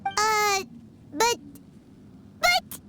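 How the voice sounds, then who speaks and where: A woman voicing a small, naive, earnest childlike fairy companion in a video game, with a squeaky voice and questioning manner. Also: big pitch swings, drawn-out words, very noisy, exaggerated voice. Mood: hesitant. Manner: refers to self in third person, questioning.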